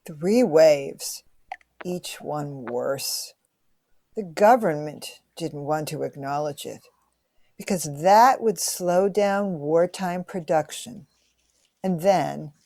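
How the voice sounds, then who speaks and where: Brief: a woman as a mourning 1920s widow, dark and gravelly. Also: low-pitched, slow pace, no echo, full character voice. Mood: somber. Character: grieving woman from 1920, widowed. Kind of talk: amateur radio play acting.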